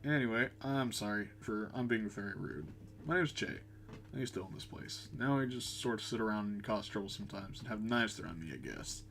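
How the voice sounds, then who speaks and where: A man's voice: on the low side, a little fast, gravelly, a bit bright. A man voicing a laid-back, self-deprecating former bar owner from a game, in a rambling, wry way. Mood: sheepish, wry. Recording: game music under the voice.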